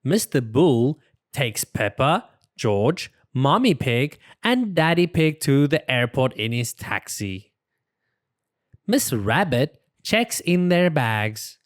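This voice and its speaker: An expressive storybook narrator for young children speaks at a slow, careful pace with a very lively range. The delivery is deliberate and clearly shaped for reading aloud, in a dry recording. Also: medium pitch, no echo. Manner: expressive, deliberate, voices the characters. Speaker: storybook reader.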